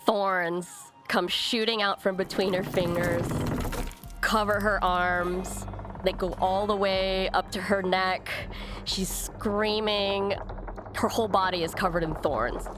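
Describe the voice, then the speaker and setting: A woman improvising descriptive narration as a player in a tabletop role-playing game, deliberate and searching for words. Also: medium pitch. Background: prominent music.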